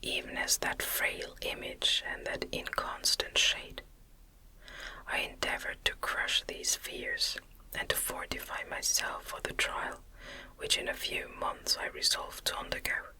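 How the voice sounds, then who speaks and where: A woman reading a gothic novel as an ASMR narrator in a gentle, breathy, unvoiced whisper, close to the microphone. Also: rough recording. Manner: gentle, deliberate literary reading. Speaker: audiobook narrator.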